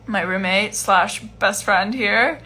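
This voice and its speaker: A young woman in her twenties answering fan questions in a casual livestream from home, relaxed and chatty with lots of fillers. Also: mid-range voice, takes it slowly. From Iceland. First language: Chinese. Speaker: musician hosting a livestream Q&A. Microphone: phone livestream.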